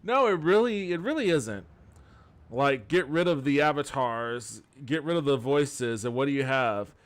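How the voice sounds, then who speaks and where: Male game streamer, medium pitch, casual commentary, rambling, blunt, critical. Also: expressive, a little slow. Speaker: game streamer and podcast host.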